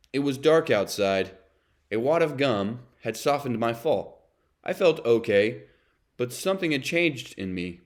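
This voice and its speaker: A man's voice: medium pitch, close to an everyday voice. A man reading a children's storybook as a brooding, self-confessed bad sunflower seed, in a dramatic, confessional way. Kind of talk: children's read-aloud narration.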